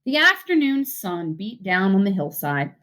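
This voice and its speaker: A woman reading a children's story aloud with deliberate, animated, expressive narration. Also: medium pitch. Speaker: read-aloud reader.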